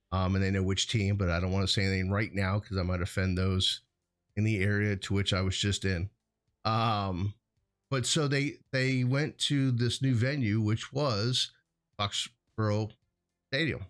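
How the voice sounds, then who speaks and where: A man co-hosting a show in a casual, rambling chat, often correcting himself as he goes. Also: on the low side. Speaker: co-host of a hazardous materials show.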